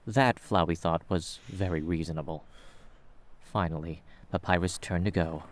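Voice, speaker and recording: A man with a low voice narrates a piece of fiction. He reads scripted prose as the storyteller of an audio drama. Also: fairly even intonation, normal speed.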